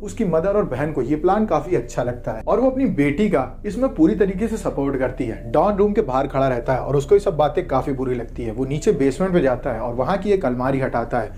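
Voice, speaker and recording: A man's voice: on the high side, lively intonation, fairly quick, hardly any pauses, dark tone. A man narrating a movie recap from a script, with music behind him. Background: background music.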